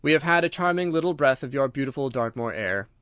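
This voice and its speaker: A man voicing a confident, brisk Victorian gentleman detective in an audiobook, courteous, assured and playfully secretive. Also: medium pitch, expressive, smooth, no echo, clean studio sound, natural voice. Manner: courteous, assured, formally worded.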